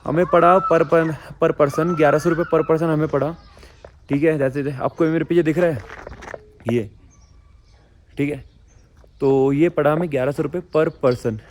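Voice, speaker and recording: Hoarse-throated man vlogging casually. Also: a little high, steady tone, unhurried, pauses often. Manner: blunt and chatty, addresses viewers directly. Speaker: travel vlogger. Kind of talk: casual travel vlog talk.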